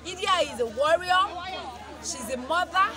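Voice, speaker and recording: A young woman gives interview answers about acting, in a high voice. She is outdoors with a loud crowd all around her. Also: lively intonation, moderate pace. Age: young adult. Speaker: student stage actor. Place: open outdoor yard among crowd.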